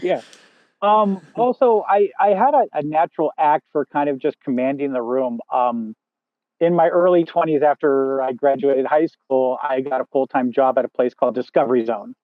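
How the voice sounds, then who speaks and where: A man with a loud, booming voice talks loosely as a podcast guest, looping through fillers and restarts. The sound is thin and phone-like, with no room in it. His pitch sits at an ordinary middle height. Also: normal speed, no echo. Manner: rambling, frequent fillers and restarts. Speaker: podcast guest, first assistant director. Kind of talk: casual interview chat. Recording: dry tinny phone-like sound.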